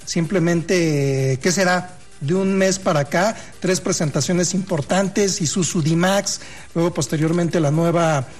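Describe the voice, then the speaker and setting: A man's voice: high voice, a little slow, soft background music. A man with a Mexican accent co-hosting a radio show, commenting on news in a friendly, informal way. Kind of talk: conversational automotive news commentary.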